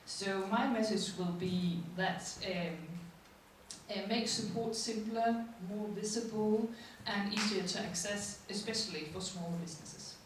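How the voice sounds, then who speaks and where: A woman from Denmark speaking as a panel guest, measured and plain-spoken. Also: mid-range voice, fairly even intonation, slight background noise. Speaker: entrepreneur guest. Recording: echoey hall, voice from a distance.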